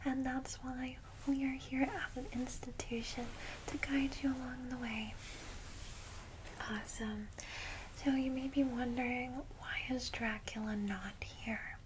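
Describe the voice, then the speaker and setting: Female ASMR whisperer, kindly vampire guide, unhurried and even in tone, clear background noise. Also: high-pitched. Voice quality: soft breathy whisper. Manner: gentle, reassuring, unhurried. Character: kindly vampire secretary welcoming a newly turned vampire. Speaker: ASMR roleplay creator playing a guide to new vampires.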